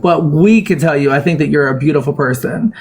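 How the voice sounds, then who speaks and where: A woman's voice: low voice, brisk, crowd noise. A woman hosting a casual live chat who asks long, rambling questions and talks encouragingly to her guest. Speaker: host of a live panel.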